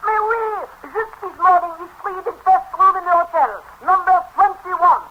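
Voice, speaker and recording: An actor playing a middle-aged, fussy and excitable French hotel manager in a 1930s radio comedy, speaking theatrical broken English with a French accent. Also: male voice, very high-pitched, normal speed, phone-quality sound. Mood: excited, obliging. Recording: noisy old 1930s radio broadcast.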